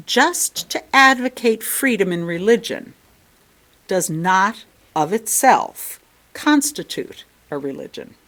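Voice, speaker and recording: An American woman reads a sermon aloud from a script as a lay speaker, phrasing it in a measured, deliberate way. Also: mid-range voice.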